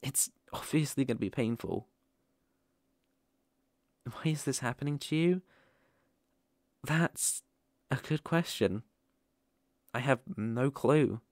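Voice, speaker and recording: A British man playing a gentle, protective werewolf mentor in an ASMR roleplay, speaking softly, tenderly and reassuringly. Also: medium pitch, no echo, clean studio sound, close to an everyday voice. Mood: calm, explaining. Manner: soothing, unhurried, tender.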